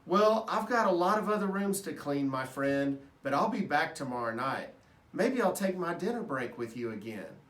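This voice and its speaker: Guy doing a jolly booming janitor from a kids book, chatty n folksy, bit far from the mic. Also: very animated, moderate pace, fairly bright tone. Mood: warm, reassuring. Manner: hearty, folksy, playful. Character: big jovial male night janitor, friendly and chatty. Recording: roomy echo, distant microphone.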